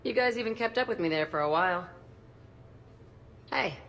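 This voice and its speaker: Brash, cocky tough fighter. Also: female voice, mid-range voice, expressive, moderate pace, vocal fry, a bit bright, slight background noise. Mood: cocky, cheerful. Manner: cocky, blunt. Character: brash, tough, foul-mouthed young woman fighter. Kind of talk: video game voice acting.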